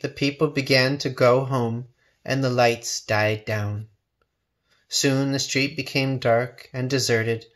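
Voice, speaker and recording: A man narrating a children's storybook with expressive, measured phrasing. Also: medium pitch. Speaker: storybook reader.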